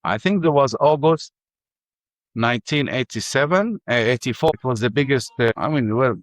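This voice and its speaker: An older man with an Arabic accent telling his life story in halting English full of restarts. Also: mid-range voice, animated, no room sound. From Ramallah. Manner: halting, many restarts and fillers. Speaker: interview guest. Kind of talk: personal testimony.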